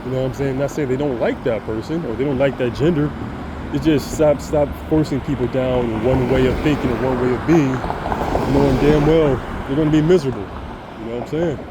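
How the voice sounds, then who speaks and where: A man with a deep voice speaks in an earnest, rambling vlog monologue. He restarts sentences and stammers often. Loud traffic and air-conditioning noise hum behind him throughout. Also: brisk. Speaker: vlogger. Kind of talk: casual monologue to camera.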